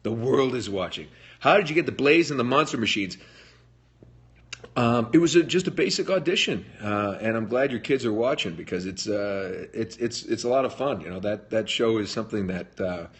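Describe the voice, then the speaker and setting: Husky-voiced man chatting on a live stream. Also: mid-range voice, animated, normal speed, fairly bright tone. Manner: chatty, jokey, reads comments aloud and answers. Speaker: livestream host answering fan questions. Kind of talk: casual live Q&A chat. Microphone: phone livestream.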